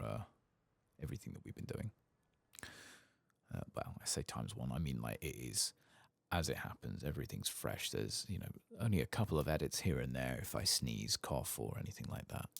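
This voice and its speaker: A soft-spoken man giving relaxed, musing ASMR commentary on a game playthrough, close to the mic and at times near a whisper. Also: gravelly, dry recording. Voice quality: soft, low-volume voice, sometimes dropping to a whisper. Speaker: gaming ASMR channel host. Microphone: close, dry microphone.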